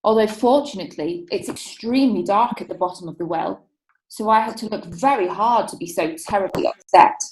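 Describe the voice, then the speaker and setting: A woman's voice: medium pitch, a little fast, darkish tone, a little hiss or hum, natural voice. A coy, flustered witness, played by a woman who stumbles over her words with many hesitations. The recording carries a roomy echo. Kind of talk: amateur radio drama. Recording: echoey room.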